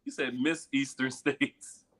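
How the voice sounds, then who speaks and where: A man's voice: medium pitch, steady tone, slow pace, a bit bright. A man on a casual panel chat, playful and teasing toward the others. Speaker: panelist, pageant titleholder.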